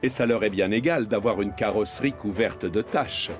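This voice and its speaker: A man's voice: fairly low pitch, normal speed, faint music behind. A man narrating a children's cartoon in a scripted storytelling style.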